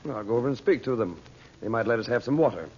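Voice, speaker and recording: Man as calm heroic uncle type in old radio drama, firm n reassuring, mid voice, dull hissy recording. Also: medium pitch, rapid-fire, close to an everyday voice. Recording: muffled old radio recording, background hiss.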